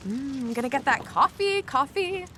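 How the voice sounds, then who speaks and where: A woman's voice: high-pitched, expressive, a little slow, pushed and bright, slight background noise, heavily acted. A woman playing an upbeat, impatient young wheelchair user in a radio play, chatting in perky slang and muttering to herself. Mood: cheerful. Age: young adult. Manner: perky, slangy, talks to self. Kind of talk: naturalistic radio drama acting.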